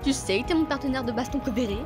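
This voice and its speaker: A woman's voice: high voice, racing, music in the background, voice pushed up, natural voice. A woman voicing a brash, reckless punk demon woman with a cocky, swaggering manner in a fan dub.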